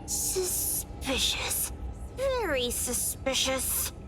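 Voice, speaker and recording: A woman voicing an excitable, playful, impatient young girl in an anime game, with dramatic, exclamatory delivery. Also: high voice, extremely slow, over music, heavily acted. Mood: suspicious.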